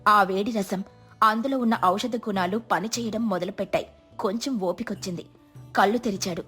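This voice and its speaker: A woman's voice: mid-range voice, moderate pace, a bit bright, husky, soft background music. A woman storyteller giving scripted, dramatic narration of a village tale.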